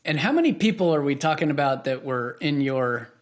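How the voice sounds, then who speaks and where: A man's voice: on the high side, expressive, fairly quick. A man hosting a podcast interview in a conversational, enthusiastic and affirming manner.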